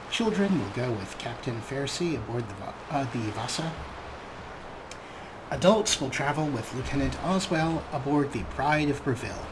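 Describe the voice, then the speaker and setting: A man hosts a let's play with casual, chatty commentary. His delivery is wry and understated, at a middling pitch. There is clear noise and game ambience behind his voice.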